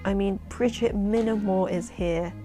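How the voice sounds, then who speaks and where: A young woman with a London accent and a husky voice, chatting excitedly to camera in a casual vlog about her work as a poet. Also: mid-range voice, music in the background. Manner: chatty, excitable, quick asides and laughs. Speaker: poet and vlogger. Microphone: camera-mounted shotgun mic.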